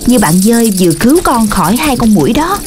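A woman voicing a gentle, knowledgeable mother in a children's cartoon, explaining things patiently. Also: fairly high pitch, big pitch swings, fast. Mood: instructive. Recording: music behind the voice.

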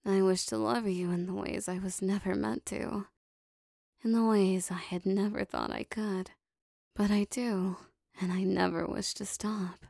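Woman acting as a slow, creaky-voiced devoted demoness. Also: medium pitch, steady tone, no room sound, clean studio sound. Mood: yearning devotion. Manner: soft, breathy, formal archaic phrasing, lingering pauses. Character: lovestruck possessive female demon bound to a sorcerer. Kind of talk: intimate roleplay ASMR audio drama.

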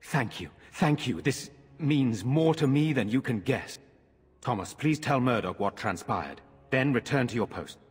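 An actor playing a grave, courteous noble lord in a fantasy game, speaking formally and earnestly in a reverberant stone hall. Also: male voice, mid-range voice, lively intonation, a little slow, husky, soft background music. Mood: grateful, businesslike. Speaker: game character. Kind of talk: dramatic fantasy game acting.

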